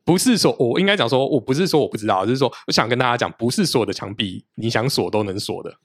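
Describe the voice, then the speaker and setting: A man's voice: mid-range voice, lively intonation, fast talker, slightly rough, dry recording, studio quality. A man in his late thirties, a podcast guest in casual chat, explaining things at length in a practical, detailed way.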